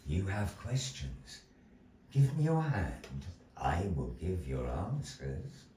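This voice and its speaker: A man voicing a mysterious woman fortune teller, speaking in a hushed, solemn way at a live puppet show. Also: deep voice, big pitch swings, slow, a little hiss or hum, pitched below the performer's own voice, heavily acted. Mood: solemn prophecy. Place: gallery venue, live show. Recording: echoey room, mic at a distance.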